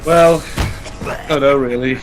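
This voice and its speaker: A man's voice: medium pitch, fairly even intonation, unhurried, poor audio. A British man giving casual co-commentary on a video game. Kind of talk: casual gameplay commentary chat. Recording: loud game music behind.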